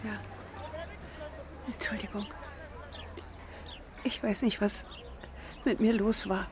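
A woman's voice: medium pitch, little pitch movement, takes it slowly, a little hiss or hum, close to an everyday voice. An actress in a radio drama playing a distraught, fragile woman searching for her missing husband. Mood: dazed, apologetic. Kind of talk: dramatic German radio play acting.

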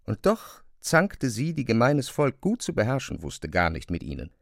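A man's voice: medium pitch, huge pitch swings, moderate pace, vocal fry, no room sound, studio quality. A man narrating a classic novel as scripted literary prose.

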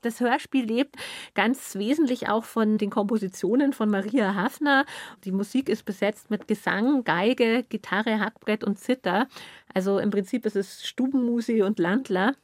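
A woman's voice: medium pitch, moderate pace, no echo. A woman interviewing guests on radio with prepared but conversational questions.

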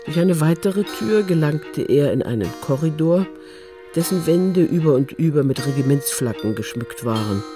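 A man's voice: fairly high pitch, expressive, normal speed, dark tone. A man reading scripted literary narration as the narrator of a crime story. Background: music.

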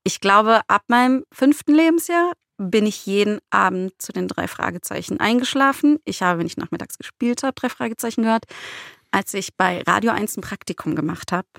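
A woman's voice: medium pitch, animated, normal speed, vocal fry, fairly bright tone, no room sound. A woman co-hosting a podcast in a studio, chatting casually in informal, slangy language. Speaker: podcast co-host and screenwriter. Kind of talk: casual chat.

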